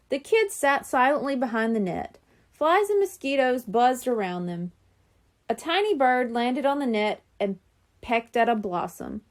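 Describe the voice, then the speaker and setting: A woman reading a children's chapter book aloud in an expressive storybook style. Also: on the high side.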